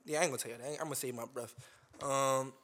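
A man's voice: medium pitch, fairly even intonation, very fast, a bit bright. A young man co-hosting a casual chat, slangy, swearing, and full of "uh" and "um". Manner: slangy, heavy fillers and swearing. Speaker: podcast co-host.

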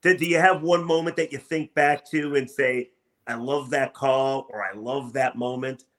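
A man's voice: mid-range voice, steady tone, moderate pace, a bit bright. An American man hosting a sports talk radio interview, asking questions in an emphatic, effusive, stop-start way.

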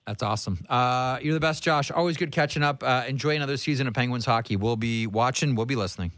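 A man's voice: mid-range voice, fast talker, a bit bright, no room sound, clean studio sound. A man hosting a sports talk show, speaking casually and conversationally about hockey, with blunt asides.

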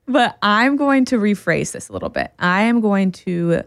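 A woman's voice: mid-range voice, huge pitch swings, moderate pace, gravelly, no room sound, studio quality. A woman in her early thirties hosting a podcast, explaining her views earnestly and encouragingly. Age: 30s. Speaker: podcast host. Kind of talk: casual podcast conversation.

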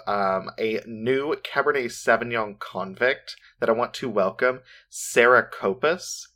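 A man with a low voice and a General American accent talks loosely as a podcast co-host. He is excitable, drifts into asides and swears without a second thought. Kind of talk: casual podcast chat.

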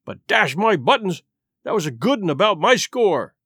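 A man's voice: high voice, sing-song, normal speed, sharp tone, husky, no room sound, studio quality, exaggerated voice. A man voicing a hearty, jovial old male sea cook in a storybook reading, full of salty sailor slang.

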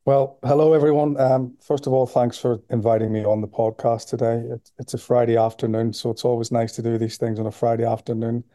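Northern Irish man, 40s, podcast guest; very dark, even voice, dry close sound. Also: medium pitch, fairly quick, hardly any pauses, no echo. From Belfast, Northern Ireland. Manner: relaxed, steady, with frequent "um" and repeated words. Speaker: guest, sports nutrition professor. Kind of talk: podcast interview.

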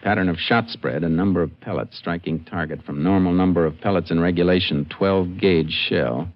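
An actor playing a steady, no-nonsense American Texas Ranger who narrates and investigates in terse, matter-of-fact 1950s radio western style. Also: male voice, low-pitched, normal speed. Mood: grave, matter-of-fact. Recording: dull vintage 1950s radio recording.

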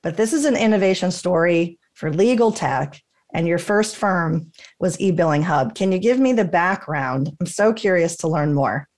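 A woman's voice: fairly low pitch, animated, moderate pace, darkish tone. A woman hosting a podcast interview, reading a scripted intro and then asking enthusiastic questions. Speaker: podcast host.

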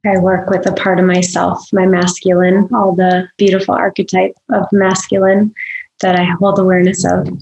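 A woman from Michigan speaking as a podcast guest, her voice gravelly with fry. She talks in a thoughtful way, pausing to search for words. The recording has a roomy echo. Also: lowish voice, completely flat delivery, a little mellow. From Michigan, USA. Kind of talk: podcast interview.

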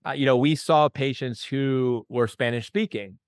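A man's voice: mid-range voice, moderate pace. This is a man hosting an interview podcast in a studio. He talks with eager enthusiasm and loose, chatty phrasing. The room is dry and close, with no echo at all. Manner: enthusiastic, conversational with many fillers. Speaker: podcast host, chiropractor.

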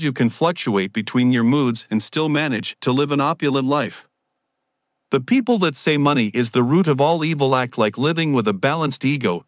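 A man's voice: mid-range voice, dry recording. Dry-recorded man preaching motivation. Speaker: motivational speaker. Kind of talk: scripted motivational monologue.

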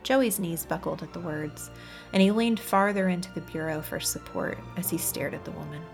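A woman's voice: fairly low pitch, normal speed, creaky, soft background music. A woman reading a story aloud as scripted audiobook narration. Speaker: audiobook reader.

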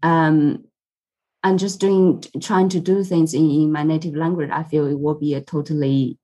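A woman in her twenties from China, Mandarin native, chatting as an interview guest, halting and repeating herself. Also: low voice, steady tone, warm tone. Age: 20s. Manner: halting, repeats words, laughs often. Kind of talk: casual interview chat. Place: home room with a cat.

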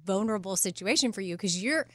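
A woman's voice: medium pitch, animated, quick pace, fairly bright tone, no echo, clean studio sound. A woman hosting a casual interview, encouraging and a little tentative in how she puts questions. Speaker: podcast host interviewing a guest.

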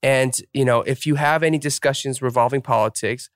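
A man's voice: medium pitch, a little fast, dry recording, studio quality. A young man hosting a podcast, upbeat and chatty, full of fillers like "like" and "um". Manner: upbeat, filler-heavy, self-deprecating. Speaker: podcast host. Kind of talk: casual interview chat.

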